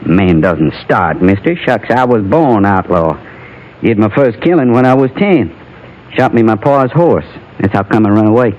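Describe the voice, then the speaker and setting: A man's voice: on the low side, lively intonation, normal speed, close to an everyday voice. An American actor playing a bitter, wistful condemned outlaw in his thirties, with a rustic frontier drawl, in an old radio western. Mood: rueful, proud. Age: 30s. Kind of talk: 1950s radio western acting. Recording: dull lo-fi vintage radio recording.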